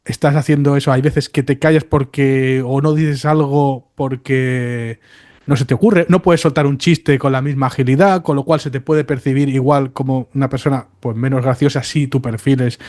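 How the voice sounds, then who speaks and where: A man's voice: mid-range voice, darkish tone. A man leading a casual podcast discussion, thinking aloud and putting questions to the other guests. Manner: reflective, asks the others questions.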